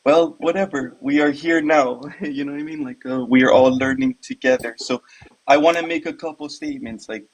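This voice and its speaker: A man's voice: medium pitch, a little fast, rough recording. A middle-aged man from Hawaii, a retired Army medic, talks as a guest on a video call in a loose, rambling way with lots of fillers. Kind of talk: casual conversational interview.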